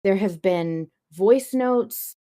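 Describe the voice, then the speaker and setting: A woman's voice: medium pitch, drawn-out words, dark tone. A woman hosting a podcast in casual, chatty conversation, often hedging as she goes. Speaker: podcast host.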